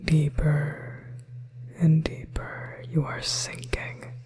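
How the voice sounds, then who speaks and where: A possessive female demon voiced by a woman whispers in a breathy, very slow murmur. She is soothing and coaxing, with a manipulative pull, as in a hypnotic ASMR roleplay. Her tone stays even throughout. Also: low-pitched, exaggerated voice.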